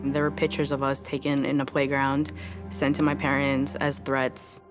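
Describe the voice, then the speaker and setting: A deep-voiced woman in her twenties recounts events in measured, articulate sentences. Music runs clearly beneath her words. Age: 20s. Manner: articulate, steady storytelling. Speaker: daughter interviewed in a documentary. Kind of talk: documentary interview. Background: background music.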